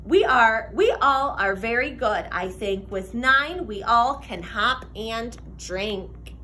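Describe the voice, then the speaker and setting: A young woman reading a children's picture book aloud like a teacher, expressive, sing-song and deliberate. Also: on the high side, slight background noise. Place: classroom, indoors.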